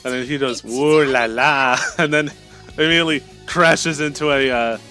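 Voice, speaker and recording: A man's voice: mid-range voice, moderate pace, sharp tone, faint music behind. A man in casual livestream chat, laid-back and sweary, often chipping in briefly.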